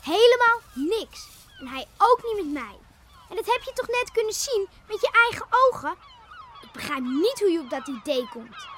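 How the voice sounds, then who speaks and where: High-voiced, animated village girl. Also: normal speed, slight background noise, full character voice. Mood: defensive. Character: lively outspoken young village schoolgirl, curious and teasing. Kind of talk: Dutch radio play acting.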